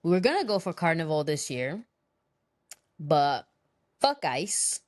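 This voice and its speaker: A woman's voice: sits low, big pitch swings, unhurried, darkish tone, dry recording, clean studio sound. A woman co-hosting a podcast, chatting casually with loose slang and free swearing. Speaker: podcast co-host. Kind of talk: casual chat.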